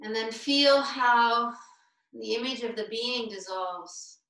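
Woman, meditation teacher, slow spaced-out phrases, clear bright tone, distant roomy video-call sound. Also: fairly high pitch, steady tone. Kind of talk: guided meditation.

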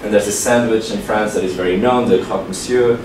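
A man gives a meetup talk in a steady, explanatory way. His voice sits very low. It is picked up from across a large, echoey room with a noisy background. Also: fairly quick, far from the mic. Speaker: presenter. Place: large meeting room with projector. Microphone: far room mic.

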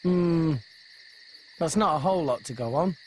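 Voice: medium pitch, normal speed, a little mellow, a little hiss or hum, close to an everyday voice. An actor playing an earnest, plucky teenage boy adventurer who talks informally in a video game. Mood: resolute. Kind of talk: video game cutscene acting. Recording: music under the voice.

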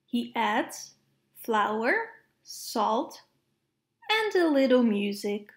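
A woman reading children's picture books aloud to preschoolers in an expressive, measured way. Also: fairly high pitch. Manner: expressive, measured phrasing with playful sound words. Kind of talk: storybook read-aloud for preschoolers.

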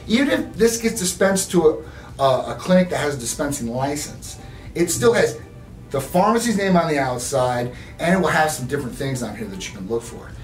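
Emphatic man explaining to camera. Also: on the high side. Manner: emphatic, repeats "right?" often. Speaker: clinic spokesman. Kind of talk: direct-to-camera educational talk. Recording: roomy, distant, faint music bed.

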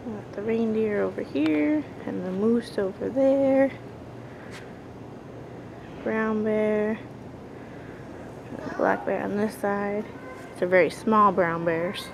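A woman's voice: mid-range voice, slow pace, gravelly, pauses often. A young woman casually narrating a walking tour of an indoor museum, pointing out exhibits as she goes, on a handheld camera mic. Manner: relaxed, pointing things out as she walks. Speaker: video tour host. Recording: noisy handheld camera audio.

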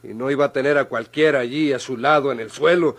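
A Mexican actor playing a commanding, suspicious lawyer with an authoritative, deliberate manner, in old radio drama style. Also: male voice, mid-range voice, natural voice. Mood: stern, reasoning. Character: stern male lawyer, commanding and suspicious interrogator.

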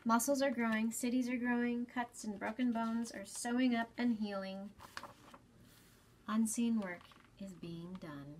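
A woman in her thirties hosting a children's storytime, explaining gently and deliberately in a slightly breathy voice. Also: a little high, a little hiss or hum, low-quality recording. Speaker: children's storytime host at a library. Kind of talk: children's storytime reading and talk. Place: small library space, indoors.

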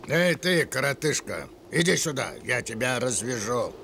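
An actor playing a dim, rough animal henchman of a villain in a cartoon. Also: mid-range voice, very animated, moderate pace, pushed and bright, a little hiss or hum, heavily acted. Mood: gruff, taunting.

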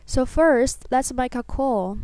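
Female narrator for a scripted how-to: high pitch, very clean tone, instructional. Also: takes it slowly, warm tone, clean tone, no echo. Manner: instructional, step by step. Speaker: software tutorial narrator.

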